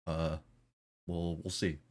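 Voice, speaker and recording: A man's voice: deep voice, level tone, takes it slowly, slight background noise. A man streaming a game, chatting casually and rambling with self-deprecating jokes.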